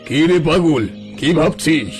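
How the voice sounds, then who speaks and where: A man voicing a bossy holy man in a cartoon, scolding and pompous with a gravelly voice. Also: a little high, measured pace, darkish tone, soft background music, lightly acted. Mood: bossy, promising. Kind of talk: cartoon story acting.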